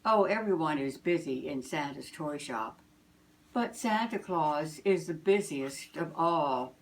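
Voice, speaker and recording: An older woman reads a children's story as a grandmother would. Her voice is low, and she takes her time, telling it very slowly with deliberate expression. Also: lively intonation, low-quality recording. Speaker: grandmother reading aloud.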